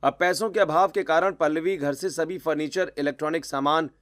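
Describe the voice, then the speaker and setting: A man's voice: a little high, a little fast, fairly bright tone. A man narrating a scripted moral story in a storyteller's role.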